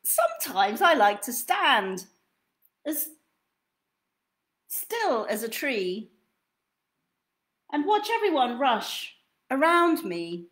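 A young woman reading picture books aloud to children in an expressive, theatrical way, drawing out key words. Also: high-pitched. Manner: theatrical, deliberate, drawn-out delivery of key words. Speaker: children's storytime reader. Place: small indoor room at home.

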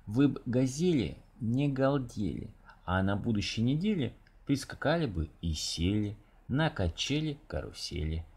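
A man voicing a harried, exasperated but soft-hearted man who answers endless calls in a children's storybook. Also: medium pitch, expressive, a little slow, hardly any pauses, husky.

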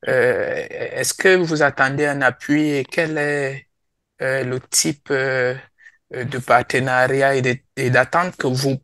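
A male host poses his questions over a video call, pausing often and filling the gaps. His voice has a gravelly texture, and the sound is dry and close to the mic. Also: medium pitch, steady tone, fairly bright tone, no echo. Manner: hesitant, many fillers. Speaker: interviewer host. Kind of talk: interview questions.

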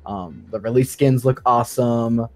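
A man's voice: medium pitch, moderate pace. Informal man in casual podcast chat. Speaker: guest co-host, sound designer.

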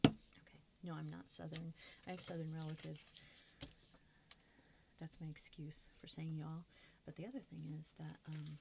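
A woman with a very low voice talks in a loose, candid, self-mocking ramble, as if to friends watching her craft. Her voice is full of gravelly fry. Clear background noise sits under the indoor recording. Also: flat delivery, normal speed, long pauses. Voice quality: low, creaky voice. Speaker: craft vlogger. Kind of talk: casual rambling chat to viewers while crafting. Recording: noisy room recording with background hiss.

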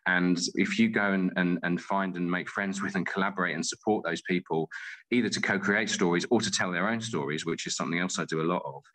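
A man's voice: sits low, fairly quick, fairly bright tone, rough recording. A British man in his forties answering interview questions in a reflective, earnest and articulate way, thinking aloud as he goes. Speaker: interview guest, playwright and theatre company director.

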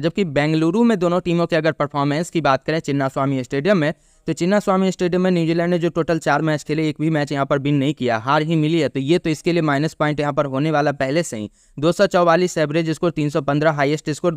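Smooth, dry-voiced male cricket analyst. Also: on the high side, no echo. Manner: steady stat-reading with brief restarts. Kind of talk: pitch report and match preview.

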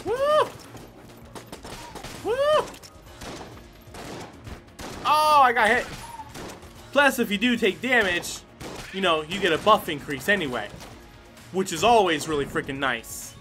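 High-pitched, animated male gamer. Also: slow pace, long pauses. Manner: exclamatory, swearing, talking to himself and the game. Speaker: gaming video host. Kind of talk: casual excited gameplay commentary. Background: game music.

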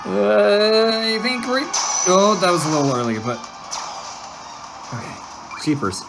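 A woman's voice: medium pitch, big pitch swings, measured pace, vocal fry, poor audio. A woman giving casual let's-play commentary as host, giggly and easily distracted with frequent exclamations. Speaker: gameplay host. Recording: game music behind the voice. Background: video game music.

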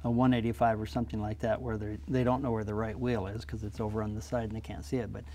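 A man's voice: lowish voice, level tone, fast, dark tone, a little hiss or hum. An older man, a pilot, explaining flying practice in a plainspoken, practical way in a small TV studio interview on a lapel mic. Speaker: guest pilot.

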